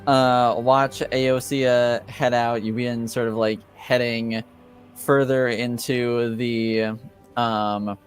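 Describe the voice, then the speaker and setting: A man narrating a tabletop game as game master, improvising in a halting way. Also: medium pitch.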